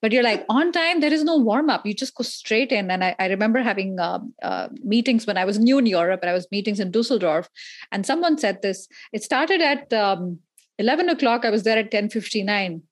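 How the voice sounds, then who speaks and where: A woman's voice: mid-range voice, expressive, brisk. A woman from India hosting a casual interview podcast in an effusive, informal, rambling way.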